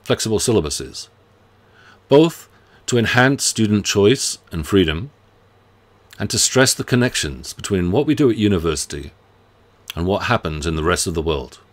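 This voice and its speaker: A middle-aged man, a professor giving a scripted welcome to his students. His voice sits very low, and he speaks in a measured, earnest, friendly way. He was recorded at his desk in a book-lined home study. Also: animated. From United Kingdom. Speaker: university professor. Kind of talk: scripted self-introduction to students.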